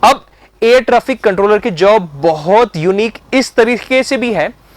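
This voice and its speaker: A man with an Indian accent giving an explanatory lesson as an instructor, going step by step and mixing in English terms. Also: sits high. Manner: methodical, explains step by step, repeats check phrases; mixes English terms into speech.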